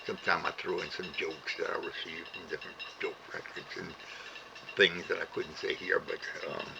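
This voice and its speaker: An older man with a Cajun accent speaks in a very deep, gravelly voice. He talks slowly and stops often to say "uh" and repeat words. The recording sounds dull and lo-fi, with a steady hiss underneath. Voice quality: gravelly, plain-spoken. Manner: halting, with many "uh" pauses and repeated words. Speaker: storyteller sharing heritage. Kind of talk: slow, rambling talk to camera.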